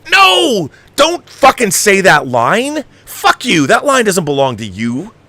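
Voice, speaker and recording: A man's voice: sits high, wildly swooping pitch, takes it slowly, sharp tone, no room sound. Exasperated man ranting about films. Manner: exasperated, emphatic, profane. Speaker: host of a film commentary show. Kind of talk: opinionated movie review rant.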